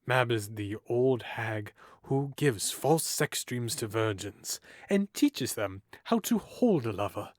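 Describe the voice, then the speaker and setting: Male actor as a restless, teasing young nobleman for audio drama; lively swings, dry booth. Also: mid-range voice, slow pace, few pauses, no echo. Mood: playful. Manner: playful, teasing, theatrical, swings from hushed to loud.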